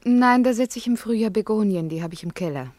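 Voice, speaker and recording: Actress as worried, earnest wife, dry sound. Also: female voice, mid-range voice, expressive, brisk, a little mellow, dry recording, lightly acted. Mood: restrained. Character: worried, earnest doctor's wife, gently insistent. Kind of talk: German radio play acting.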